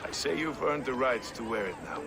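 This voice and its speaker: A hearty male town leader and warrior from a video game , acted by a man. He declares things earnestly in a mid-pitched voice, with loud outdoor ambience around him. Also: animated, a little fast, very noisy, close to an everyday voice. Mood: warm, grateful. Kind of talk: dramatic video game cutscene acting.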